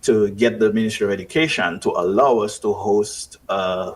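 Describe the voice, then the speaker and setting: A man's voice: lowish voice, moderate pace. A man with a Dominican accent and a Dominican upbringing, speaking earnestly on a radio talk show as a writer and festival organizer. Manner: earnest, reflective, with restarts. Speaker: writer, festival committee member. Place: radio studio or remote call.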